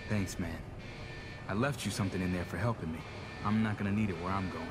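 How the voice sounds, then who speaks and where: An actor playing a plain-spoken, resigned but determined American technician ally in a video game, casual and matter-of-fact. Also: male voice, sits low, fairly even intonation, fast talker, music in the background, lightly acted. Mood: resigned farewell. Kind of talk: video game cutscene acting.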